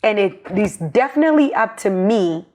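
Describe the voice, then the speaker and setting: A woman's voice: mid-range voice, animated, moderate pace, a little mellow. An educator woman talking as a podcast guest, earnest and encouraging in casual conversation. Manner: earnest, encouraging, laughs often. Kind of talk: casual interview chat.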